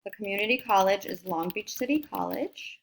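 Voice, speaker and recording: A woman's voice: mid-range voice, brisk, creaky, slight background noise, poor audio. A woman career coach giving an upbeat, encouraging screen-recorded tutorial and talking students through each step. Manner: upbeat, encouraging, talks while clicking through steps.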